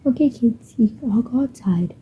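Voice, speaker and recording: A woman voicing a caring, motherly caretaker who gives gentle instructions to children. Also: on the high side, expressive, moderate pace, slight background noise, lightly acted. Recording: dull muffled home recording.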